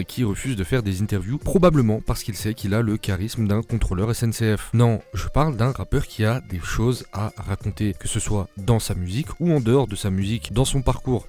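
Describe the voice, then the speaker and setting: A man's voice: on the low side, darkish tone. A man narrating a documentary video in a scripted way, with dry sarcastic asides. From France.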